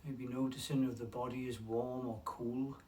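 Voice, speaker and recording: Male meditation guide, medium pitch, calm and unhurried with long pauses, recorded a little away from the mic over faint noise. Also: distant mic.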